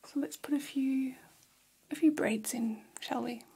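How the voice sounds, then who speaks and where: A woman plays a friendly female vampire hairdresser in an ASMR salon roleplay. The voice is a very breathy, soft whisper with a mellow tone. It moves slowly and attentively, like intimate salon gossip. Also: high voice, fairly even intonation, exaggerated voice. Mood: attentive, soothing. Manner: soft, unhurried, chatty, attentive. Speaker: ASMR performer. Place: small decorated indoor room. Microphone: close microphone.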